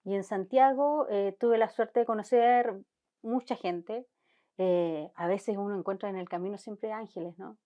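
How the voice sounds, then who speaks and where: Chilean woman scientist talking slow and thoughtful, kinda muffled audio. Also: mid-range voice, steady tone. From Chilean Patagonia. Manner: thoughtful, searching for words, frequent restarts. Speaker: marine biologist guest. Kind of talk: conversational interview.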